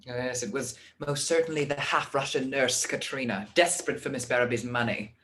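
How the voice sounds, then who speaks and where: A woman's voice: rumbling low, brisk, a bit bright, slight background noise. A woman playing a genteel, over-polite lady of the house in an amateur radio play, with theatrical delivery. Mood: accusing.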